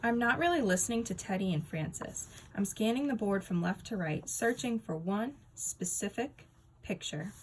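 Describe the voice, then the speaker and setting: A woman narrating a children's book aloud in an expressive storytelling style. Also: mid-range voice, normal speed, a little hiss or hum, poor audio. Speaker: storybook reader.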